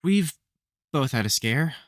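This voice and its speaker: A man playing a soft-hearted, protective vampire hunter mentor in an intimate audio roleplay, speaking fondly and hesitantly with wry exasperation. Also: on the high side, expressive, a little slow, no echo, studio quality. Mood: tender, relieved. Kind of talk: intimate audio roleplay acting addressed to the listener.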